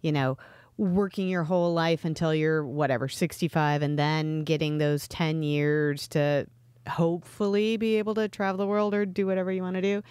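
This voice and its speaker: Female podcast co-host, slightly low register, dry recording, casual explanatory talk. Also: flat delivery, moderate pace, darkish tone, clear, resonant tone, dry recording.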